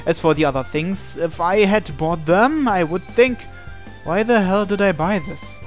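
A man's voice: high voice, a little slow. A man reading visual novel narration and dialogue aloud as a gaming video host. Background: game music.

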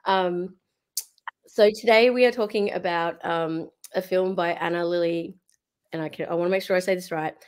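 A woman in her thirties hosting a podcast over a video call, her voice slightly squeaky today, talking hesitantly with lots of fillers and restarts. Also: fairly even intonation, moderate pace, a little mellow. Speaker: podcast host. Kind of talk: casual chat. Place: room at home. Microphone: video call webcam.

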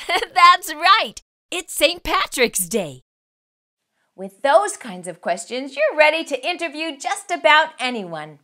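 A woman's voice: high-pitched, sing-song, slow, sharp tone. A woman in her forties hosting a children's read-aloud, cheerfully speaking straight to her young listeners from a home room with bookshelves. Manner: cheerful, addresses children directly. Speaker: children's story reader and host. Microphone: seated facing camera.

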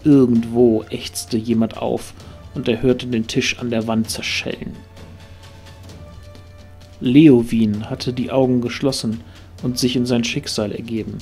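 A man narrates a scripted fantasy adventure as an audio drama. His voice sits at an average pitch, and soft music plays behind him. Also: steady tone, a little slow.

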